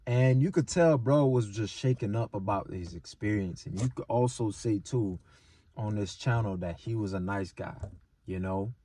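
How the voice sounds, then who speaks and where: A man's voice: on the low side, normal speed, mellow. A man hosting a video channel, telling a story and giving advice in a casual monologue, often checking in with short tag questions and repeating his points. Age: adult, older than twenty-seven.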